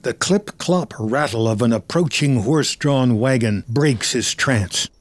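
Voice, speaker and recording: A man's voice: medium pitch, no room sound. A man reading scripted screenplay narration as the narrator of a drama. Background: music.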